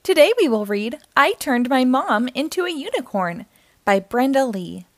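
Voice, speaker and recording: High, very animated woman reading to children. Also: moderate pace, no room sound, clean studio sound. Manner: expressive, theatrical. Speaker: storytime host and reader. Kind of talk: children's picture book read-aloud.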